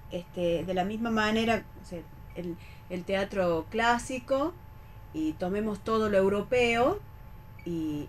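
A woman with an Argentine accent talking in a radio discussion. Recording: clear low rumbling background noise.

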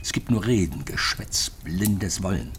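A man's voice: low voice, animated, measured pace, noisy background. A man playing a disillusioned, impassioned East German technology expert in a radio drama, lecturing emphatically. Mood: bitter, impassioned. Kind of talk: radio crime drama acting.

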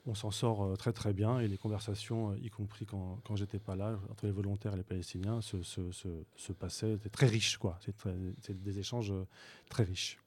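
A man speaking as a guest on a radio talk show, recounting his volunteer trip in a halting way with frequent fillers and restarts. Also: fairly low pitch, flat delivery, a little mellow. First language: Arabic speaker. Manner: hesitant, many fillers and restarts. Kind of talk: radio interview.

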